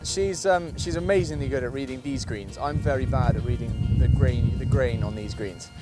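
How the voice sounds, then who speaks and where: A man from Scotland talks in a middle-pitched voice, a golfer answering interview questions. He sounds enthusiastic and fills his pauses with ums. Guitar music plays clearly behind him. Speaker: professional golfer.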